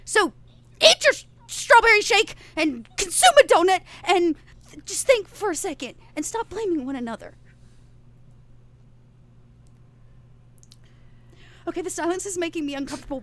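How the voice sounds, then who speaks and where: A woman's voice: very high-pitched, expressive, fairly quick, fairly bright tone, a little hiss or hum, voice pushed up. A woman playing an exasperated, bossy young-sounding vampire hunter in a tabletop game, stammering and scolding with emphasis. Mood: firm, rallying. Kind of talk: improvised in-character role-play.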